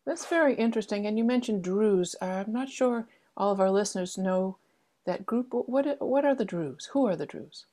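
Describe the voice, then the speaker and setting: A woman with a soft-edged, dark tone at medium pitch. She hosts an interview conversationally. She asks simple questions and gives short, attentive acknowledgements. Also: lively intonation, normal speed. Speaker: interviewer, host.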